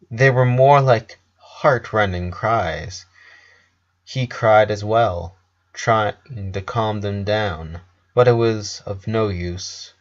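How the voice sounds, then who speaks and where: A man reading a scripted story as narrator, in a measured way with pauses between phrases. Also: lowish voice. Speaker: fan fiction narrator. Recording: dull lo-fi voice recording.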